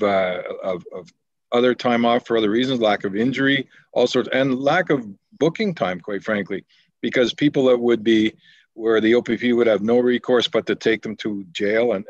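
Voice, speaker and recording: A man's voice: medium pitch, no room sound. Earnest Canadian man on a video call. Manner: rambling, repeats words, earnest. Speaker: deputy mayor at a council meeting. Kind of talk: council meeting remarks. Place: home office on a video call. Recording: slightly dull, dry video call audio.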